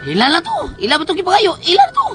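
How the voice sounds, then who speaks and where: A woman's voice: very high-pitched, huge pitch swings, racing, fairly bright tone, heavily acted. A woman playing a brash, folksy, meddling friend in a radio drama, with a Filipino accent. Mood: scolding, exasperated. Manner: folksy, peppered with verbal filler "taon". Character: brash, meddling, wisecracking provincial friend giving advice. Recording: radio drama with music underneath.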